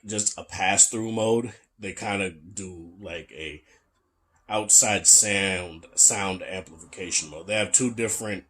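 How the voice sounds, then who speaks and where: A young man with a deep, bassy voice giving a casual, chatty tech review, full of fillers and restarts. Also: fairly bright tone. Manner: laid-back, chatty, many fillers and restarts.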